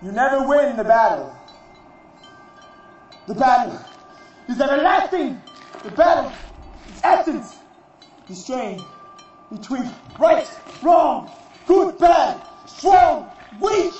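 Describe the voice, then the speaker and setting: A man's voice: very high-pitched, big pitch swings, slight background noise, full character voice. Guy acting as a proud defiant warrior man doing a stage monologue, solemn big speech, super echoey and far from the mic. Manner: solemn, declamatory, deliberate phrases rising to shouts. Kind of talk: theatrical dramatic monologue. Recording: distant, very echoey, muffled recording.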